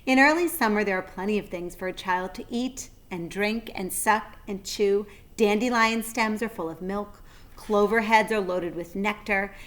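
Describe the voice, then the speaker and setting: A woman narrating a children's story with deliberate, expressive phrasing. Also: mid-range voice. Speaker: read-aloud narrator.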